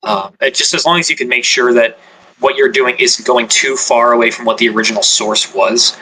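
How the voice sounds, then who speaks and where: A man's voice: mid-range voice, a little fast, background noise. A man on a podcast panel giving casual tips on making content, chatty and loose. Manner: chatty, loose with filler words and restarts. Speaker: ASMR creator on a podcast panel.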